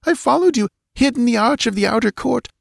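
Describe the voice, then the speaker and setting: A man's voice: very high voice, lively intonation, fairly quick, vocal fry, breathy edge, no echo, studio quality, voice pushed up, heavily acted. A man voicing a frantic young girl who sobs as she speaks, reading a storybook scene. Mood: tearful urgency.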